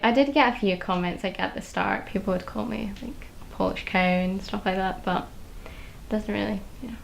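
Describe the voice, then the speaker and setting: A woman's voice: mid-range voice, moderate pace, creaky, slight background noise. A young woman student answering interview questions casually and hesitantly, with many fillers. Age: young adult. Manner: hesitant, filler-heavy speech.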